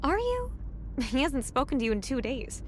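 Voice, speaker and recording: Woman acting bold flirty best friend, very high voice, animated, gossipy, blunt, audio drama, some background noise. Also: normal speed. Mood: teasing. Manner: excitable, blunt.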